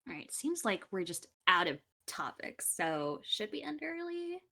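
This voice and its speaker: A woman's voice: medium pitch, sing-song, unhurried, pushed and bright. A woman bantering casually as a podcast panelist, with a wry, playful way of talking.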